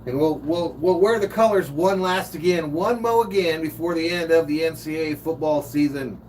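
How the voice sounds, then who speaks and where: A man's voice: fairly high pitch, normal speed, a little mellow. A man hosting a live stream, giving casual play-by-play and reading viewer comments aloud. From California. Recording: distant mic with faint noise.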